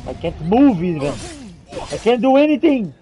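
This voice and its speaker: A woman's voice: mid-range voice, sing-song, moderate pace, warm tone, soft background music. A woman giving reactive video game commentary, exclaiming and pleading with the game as she plays. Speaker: video game streamer playing a roleplay.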